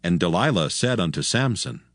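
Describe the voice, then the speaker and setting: A man's voice: deep voice, lively intonation, moderate pace, fairly bright tone, no room sound, clean studio sound. A man narrating scripted scripture as the storyteller of a dramatized Bible audio production.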